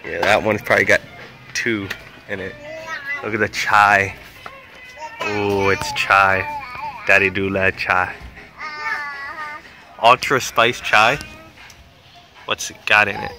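A man's voice: fairly low pitch, little pitch movement, takes it slowly, pauses often, over music. A young man filming a family vlog in a supermarket, chatting casually with jokey, teasing banter. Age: young adult. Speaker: vlogger, father. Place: large supermarket, indoors. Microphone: handheld camera held by the filmer.

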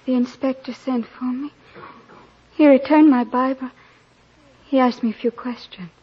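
High, husky, earnest young woman. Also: fairly even intonation, normal speed. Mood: earnest, troubled. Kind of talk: old-time radio drama acting. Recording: dull, lo-fi old radio recording.

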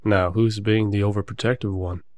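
Man acting a low, even-voiced protective werewolf. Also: normal speed, close to an everyday voice. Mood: reassuring. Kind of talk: roleplay audio drama acting.

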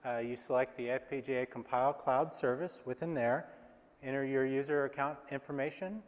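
Relaxed man presenting on a ringing stage. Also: mid-range voice, fairly quick. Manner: relaxed, many fillers. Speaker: IT engineer presenting. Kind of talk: live stage product demo. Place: large stage, hall. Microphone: lapel mic. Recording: reverberant hall.

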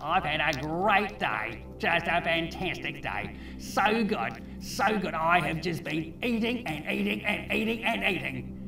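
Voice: medium pitch, very animated, unhurried, creaky, echoey room, recorded from a distance, over music. A performer voicing a boastful, laid-back, cheerful crab in a children's puppet show, matey and colloquial. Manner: matey, bragging, repetitive, colloquial.

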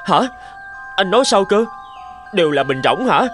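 A man voicing a naive, excitable monkey in a cartoon fable, exclaiming and easily puzzled. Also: sits high, normal speed. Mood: puzzled, anxious. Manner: stammering questions, exclaiming. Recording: loud music behind the voice.

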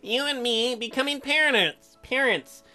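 A man's voice: very high voice, a little slow, pushed and bright, faint music behind, pitched above the performer's own voice, full character voice. A man voicing an eager, crude young newlywed husband in a visual novel, goofy and awkward.

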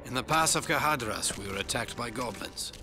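An actor playing a gruff, wry, guarded wandering ranger in a dramatic fantasy game cutscene. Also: male voice, mid-range voice, animated, fairly quick, noisy background, natural voice. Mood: guarded, grim. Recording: music behind.

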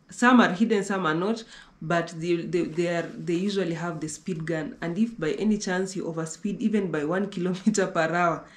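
A woman's voice: lowish voice, darkish tone. A young woman from Kenya talks through road-trip tips from a living-room sofa. She chats loosely, doubling back and repeating phrases as she goes. The room around her sounds echoey and live. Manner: chatty, repeats herself, restarts often. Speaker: travel vlogger. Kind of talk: casual conversational travel talk.

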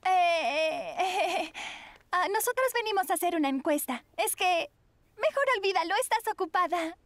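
A woman voicing an earnest, curious teenage schoolgirl in a cartoon, expressive and girlish. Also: very high-pitched, normal speed, no room sound. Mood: flustered. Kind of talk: cartoon voice acting.

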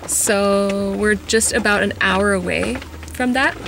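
A young woman chats through her road-trip vlog from a moving car, with lots of ums along the way. Her voice has a gravelly, creaky edge, and loud road noise runs under it. She films with a camera held at arm's length. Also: medium pitch, takes it slowly. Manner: chatty, with many ums. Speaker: vlogger.

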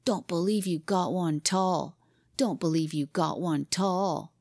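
A woman's voice: fairly high pitch, unhurried, a little mellow, no echo, clean studio sound, pitched above the performer's own voice, close to an everyday voice. A woman voicing a proud, defensive small boy in a storybook, skeptical and stubborn, repeating himself. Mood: grumbling. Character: anxious, defiant small boy, proud of his toy. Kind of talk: storybook character reading.

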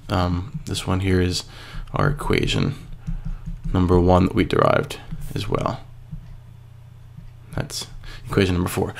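Guy teacher with a cold, stuffy, gravelly lowish voice, patient step by step screencast. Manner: patient, step-by-step, asks and answers himself. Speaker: physics teacher. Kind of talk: screencast lesson explaining a worksheet.

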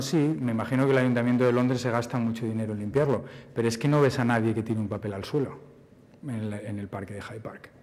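A man's voice: medium pitch, a little mellow. Man speaking at a podium in a hall. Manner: thoughtful, repeats words while searching for phrasing. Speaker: conference speaker. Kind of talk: Q&A answer at a forum. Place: large banquet hall. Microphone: podium mic. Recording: hall reverb.

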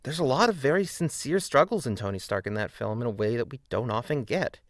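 Male essay narrator, mid-pitched, argumentative and emphatic, with plenty of melodic variation. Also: expressive, fairly quick, no room sound, studio quality. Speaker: video essayist. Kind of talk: scripted video essay narration.

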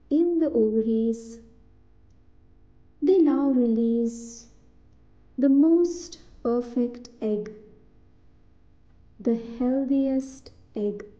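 A woman narrating a scripted guided meditation in a soothing, deliberate way, in short phrases with pauses between them. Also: fairly high pitch, dark tone, dull audio.

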